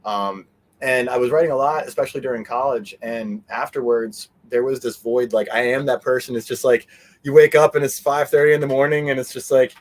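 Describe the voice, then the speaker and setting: A man giving a casual interview as a guest, animated and meandering, often trailing off mid-sentence. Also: medium pitch, brisk. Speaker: interview guest, fan convention organizer. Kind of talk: casual rambling interview chat.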